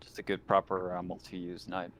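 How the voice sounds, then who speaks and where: Low voiced guy playing an online rpg, chatting casually, bit of noise on the line. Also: monotone, normal speed. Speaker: tabletop game player. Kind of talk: casual in-character game chat. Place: online voice call. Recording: noisy voice call.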